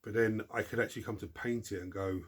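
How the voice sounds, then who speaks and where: Deep husky man with a stuffed-up cold. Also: fairly even intonation, quick pace. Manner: rambling, self-interrupting, restarts words. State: congested from a cold. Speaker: hobby channel host answering viewer questions. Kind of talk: casual chat.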